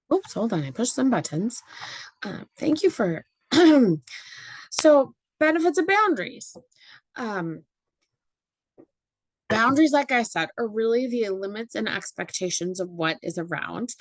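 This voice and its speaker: Slightly hoarse woman leading a workshop. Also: on the high side, no room sound. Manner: chatty, with many fillers and tag questions. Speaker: parenting workshop facilitator. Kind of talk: informal workshop presentation. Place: meeting room with live audience.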